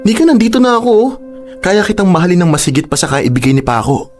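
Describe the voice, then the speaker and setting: A man's voice: high voice, big pitch swings, fast talker, music in the background. A man with a Filipino accent acting a persistent, emotional young man in love in a radio drama. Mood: pleading. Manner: teasing, insistent, emotional.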